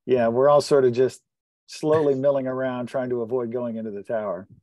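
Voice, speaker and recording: A man's voice: medium pitch, steady tone, a little fast, dark tone, dry recording, low-quality recording. A man chatting casually as a player in a tabletop roleplaying game.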